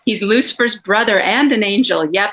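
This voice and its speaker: Bright, forceful woman narrator. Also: mid-range voice, normal speed. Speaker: narrator reading stage directions. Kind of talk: dramatic scripted narration.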